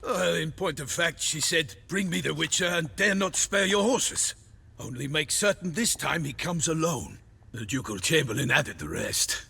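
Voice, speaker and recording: An actor playing an eager, gallant knight errant in a fantasy game, theatrical and chivalrous. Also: male voice, on the high side, lively intonation, moderate pace, vocal fry, sharp tone, breathy edge, heavily acted. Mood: earnest, courtly. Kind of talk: cinematic fantasy game dialogue.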